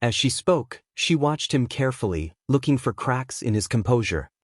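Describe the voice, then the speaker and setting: A man's voice: medium pitch, lively intonation, normal speed, husky, no room sound, clean studio sound. A man reading a dramatic fiction story as a scripted audiobook narrator.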